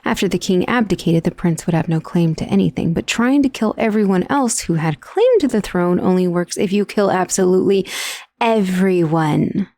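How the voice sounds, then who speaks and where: A woman playing a powerful, aristocratic vampire who is doting and protective, speaking softly and formally in an intimate ASMR roleplay. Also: on the low side, moderate pace, no room sound, lightly acted. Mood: indignant, brooding. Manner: formal, refined, genteel wording. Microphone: close, dry studio microphone.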